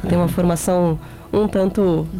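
A woman with a São Paulo accent, a campaign guest answering interview questions in an earnest, measured way. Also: fairly low pitch, steady tone, dark tone. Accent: São Paulo Portuguese. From São Paulo, Brazil. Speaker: guest, education campaign member.

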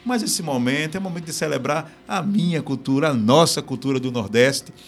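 Chatty host with a Northeastern Brazilian accent. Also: male voice, on the high side, brisk. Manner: lively and chatty, with playful regional exclamations. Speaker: host of a live business talk show. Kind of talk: casual live-stream chat.

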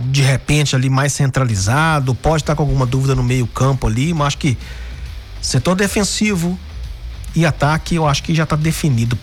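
A man from Brazil hosting a sports radio show, talking through football news in a chatty way, often tagging his sentences with questions. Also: medium pitch. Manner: chatty, frequent "né?" tags and restarts.

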